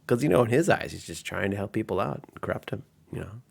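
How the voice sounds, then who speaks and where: A man's voice: lowish voice, lively intonation, quick pace, creaky, husky. A man working as game master, narrating and commenting on a tabletop game in an informal, explanatory way.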